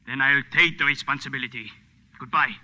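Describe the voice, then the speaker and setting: An actor in a 1940s radio drama playing a cornered, frightened villain forced to obey. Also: male voice, medium pitch, a little fast, pushed and bright, muffled recording. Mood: tense, forced.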